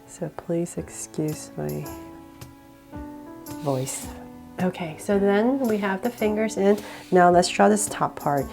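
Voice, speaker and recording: A woman's voice: lowish voice, takes it slowly, gravelly, music in the background. Slightly sick woman teaching drawing. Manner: relaxed, with small laughs and self-corrections. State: sick, voice a bit off. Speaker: drawing tutorial host. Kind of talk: step-by-step drawing instruction.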